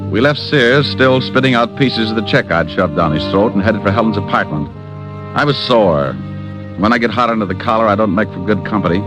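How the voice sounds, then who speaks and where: A man's voice: on the low side, animated, fairly quick, over loud music, lightly acted. An actor playing a wisecracking male private detective who narrates, in a 1940s radio drama. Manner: wisecracking, sardonic.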